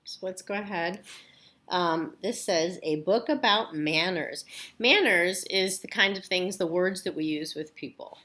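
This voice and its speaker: A woman's voice: fairly low pitch, unhurried, bright, forceful tone. A woman teacher casually leading a children's read-aloud lesson, explaining and checking in with questions. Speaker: elementary school teacher.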